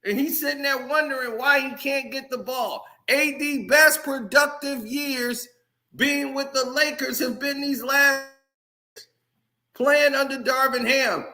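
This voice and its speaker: Heated male sports commentator addressing viewers, bright and forceful. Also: very high-pitched, fairly even intonation, unhurried. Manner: heated, ranting, talks straight to viewers. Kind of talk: casual sports commentary rant.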